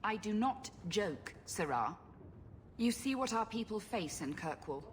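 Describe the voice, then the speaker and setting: A blunt stern refugee woman in a game, protective, medium pitch, bit of noise behind. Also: very animated, unhurried. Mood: curt, wary. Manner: stern, curt. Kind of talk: video game voice acting.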